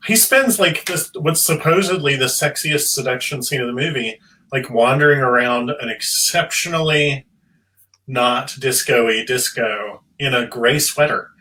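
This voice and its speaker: Man, podcast panelist, wry, comic, bright, distant from the mic. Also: medium pitch, a little slow. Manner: wry, comic descriptions, many "like"s. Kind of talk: casual chat.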